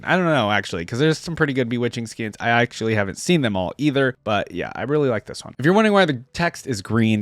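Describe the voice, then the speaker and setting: A man's voice: on the low side, no room sound, clean studio sound. A man hosting a reaction video, casually commenting and reading lore aloud with informal, chatty asides. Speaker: video host, reaction content creator.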